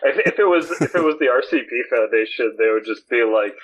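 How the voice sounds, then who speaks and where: Slightly husky man joking on a podcast. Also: medium pitch, animated, brisk, lo-fi sound. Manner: joking, sweary rants. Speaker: podcast co-host. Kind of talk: casual banter.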